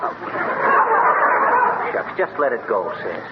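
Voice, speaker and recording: A man's voice: fairly low pitch, expressive, takes it slowly, highs cut off, a loud crowd. An actor playing a blustery, wisecracking, easily flustered everyman in 1930s radio comedy. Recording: noisy 1930s radio recording, audience behind.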